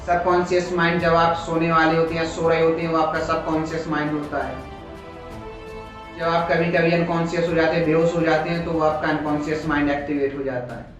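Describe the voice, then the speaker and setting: A man with an Indian accent teaching in an explanatory, motivational lecture style, slowing down deliberately on English phrases. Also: a little high, level tone, lo-fi sound. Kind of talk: motivational lecture on communication skills. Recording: very echoey room, music behind.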